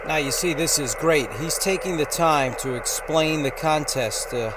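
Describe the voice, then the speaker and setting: A man hosting an amateur radio vlog, talking casually and giving deliberate on-air calls. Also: fairly high pitch. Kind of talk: casual radio commentary and on-air call-signs. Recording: very noisy, radio static and hiss. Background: loud ham radio noise.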